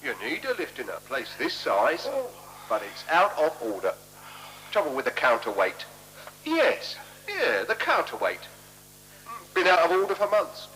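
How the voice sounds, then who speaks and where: Garrulous hotel keeper, wildly swooping voice. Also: male voice, on the high side, huge pitch swings, unhurried, bright, forceful tone, faint music behind, heavily acted. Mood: defensive, garrulous. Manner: rambling, repetitive, deferential. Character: garrulous, apologetic, shabby male hotel keeper with endless excuses. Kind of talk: 1970s radio play acting. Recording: humming, noisy 1970s radio recording.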